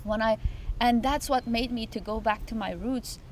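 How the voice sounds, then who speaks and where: A woman's voice: on the high side, moderate pace, a little hiss or hum. A woman from Afghanistan, hosting a talk show outdoors and speaking earnestly, often repeating her phrases for emphasis. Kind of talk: heartfelt interview talk. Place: outdoors in a botanical garden.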